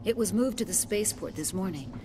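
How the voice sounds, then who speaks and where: A woman's voice: medium pitch, animated, a little fast, noisy background, natural voice. An actress playing an earnest, educated woman scientist who is shaken, in a video game. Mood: earnest, explaining. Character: shaken, educated female scientist leading an excavation.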